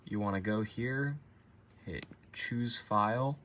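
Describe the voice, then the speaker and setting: Man, casual tutorial, slow delivery, informal wording, step-by-step instructions, faintly noisy home recording. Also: fairly low pitch, fairly bright tone. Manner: informal, colloquial, explains hands-on while demonstrating. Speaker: tutorial presenter.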